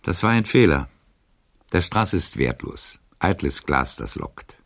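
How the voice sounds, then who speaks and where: An actor playing a frail, wry, world-weary old gentleman in a poetic radio drama, halting and often near a whisper. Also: low-pitched, big pitch swings, full character voice. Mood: stern, agitated. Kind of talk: German radio drama acting, poetic verse.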